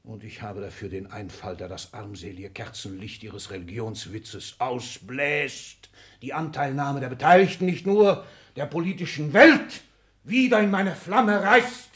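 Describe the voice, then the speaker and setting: An actor playing a domineering, vain seventy-year-old industrial magnate in a 1960s radio drama, imperious and declamatory. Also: male voice, on the high side, full character voice. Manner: imperious, declamatory, bursting from muted asides into shouts.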